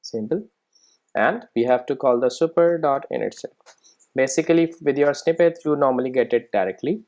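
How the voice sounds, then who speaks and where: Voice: mid-range voice, moderate pace, a little mellow. A programming instructor giving a casual step-by-step screen-recorded tutorial, often checking in with "right?" and "okay".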